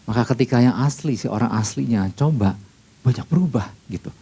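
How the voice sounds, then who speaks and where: A male pastor teaches in a relaxed, mellow voice with a creaky edge. His pitch sits in the middle for a man. He explains patiently in a conversational sermon style, restating key phrases and checking in with his listeners. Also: fairly quick.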